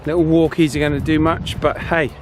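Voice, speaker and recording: A man hosts a casual hobby vlog outdoors in a slightly higher-pitched voice. He chats informally and explains things in an encouraging, down-to-earth way. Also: little pitch movement, fairly quick, music in the background. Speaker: vlog host demonstrating radio repair. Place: outdoors on a walk.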